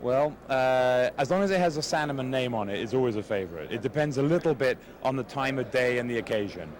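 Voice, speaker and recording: A man's voice: mid-range voice, animated, quick pace. A man from England who makes port, polite and genial. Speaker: port producer. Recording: loud crowd chatter. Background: crowd chatter.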